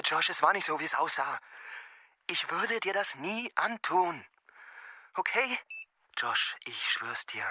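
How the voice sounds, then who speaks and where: Young male part: distraught and insistent, very sharp edge, husky, recorded close and dry. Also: a little high, no echo, heavily acted. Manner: pleading, insistent. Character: distraught young man pleading on a voicemail. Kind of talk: naturalistic radio drama acting.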